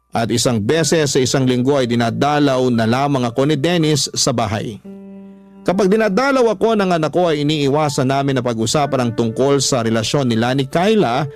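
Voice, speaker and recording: A man's voice: medium pitch, darkish tone. A man reading listeners' letters as a dramatic first-person story, as a radio host. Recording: music under the voice.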